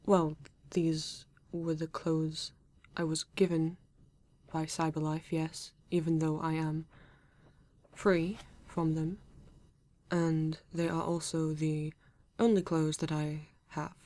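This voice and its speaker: A woman with a British accent playing a formal, reserved male android detective in an ASMR roleplay, speaking stiffly and deliberately with halting pauses. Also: deep voice, flat delivery, dark tone, no echo. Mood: guarded, halting. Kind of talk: ASMR roleplay addressed to the listener.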